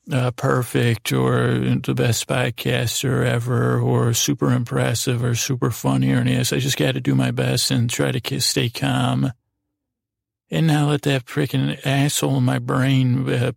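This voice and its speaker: A man hosting a podcast in a lulling, meandering monologue, halting and self-deprecating, with many false starts. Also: mid-range voice, vocal fry, no room sound, studio quality. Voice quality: lulling, soothing tone. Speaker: podcast host. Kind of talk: rambling monologue meant to lull listeners to sleep.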